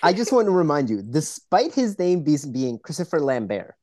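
A man in his forties chats casually as a movie podcast co-host. He jokes a lot, with a lively voice that swings up and down. The recording is close and dry. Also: fairly high pitch, normal speed, dry recording. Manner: joking, animated, acts out quotes. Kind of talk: casual movie-review chat.

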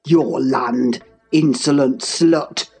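Audiobook villain: female reader as a domineering, snarling man of middle age, pitched low, menacing and deliberate. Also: fairly even intonation, darkish tone, slightly rough, soft background music, heavily acted. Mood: savage fury. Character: furious, menacing middle-aged man, savage master of the house. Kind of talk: dramatic audiobook character reading.

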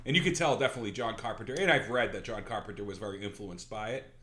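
A man's voice: on the low side, very fast, sharp tone, roomy sound. A man co-hosting a casual film podcast, hesitant with fillers and blunt jokes. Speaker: podcast co-host. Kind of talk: casual movie-review chat.

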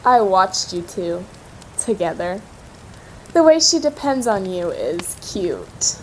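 A woman's voice: mid-range voice, big pitch swings, poor audio. An actress as a predatory young cannibal woman from an audio drama, taking her time over every word. She taunts her captors with hungry, cruel relish, over a faint bed of background noise. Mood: taunting. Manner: slow, savoring, menacing taunts.

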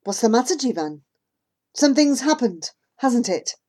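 A woman's voice: sits high, unhurried, a little mellow, voice pushed up. A woman voicing a hurt, stubborn young girl who breaks into angry, emotional outbursts. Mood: distraught.

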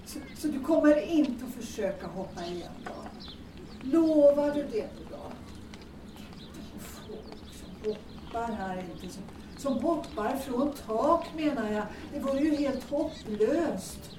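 Actress as older Swedish-drama mother, high-pitched, kindly and rambling, recorded in a noisy, echoey space. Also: expressive. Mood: concerned, probing. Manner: repetitive, rambling, questioning. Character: chatty, kindly, cautious older mother living in the building. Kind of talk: naturalistic audio drama acting. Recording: echoey, distant, noisy with birds.

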